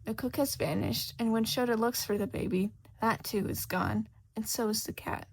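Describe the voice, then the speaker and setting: A woman's voice: a little high, steady tone, moderate pace, vocal fry, slight background noise. A woman reading a scripted fiction story aloud as its narrator. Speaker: podfic narrator.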